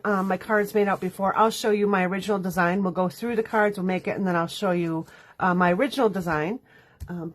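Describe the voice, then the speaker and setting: A congested woman with a nasal sound, chatting casually and rambling while teaching a card-making class live from home. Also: mid-range voice. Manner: chatty, rambling, laughs often. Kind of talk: casual livestream chat.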